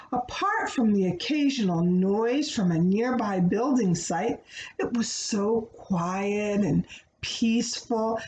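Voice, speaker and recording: A woman reading a children's story aloud in a teacherly way, pausing to check in with her young listeners. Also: medium pitch, darkish tone, dull audio. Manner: addresses young listeners with questions and pauses. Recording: roomy echo, faint background noise.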